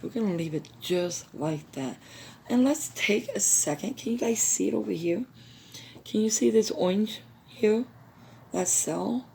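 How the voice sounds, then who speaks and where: A woman with a hoarse, recovering voice hosting a craft how-to video, chatting casually with viewers. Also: medium pitch, a little slow, darkish tone, slight background noise. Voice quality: gravelly. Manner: chatty, addresses viewers as you guys.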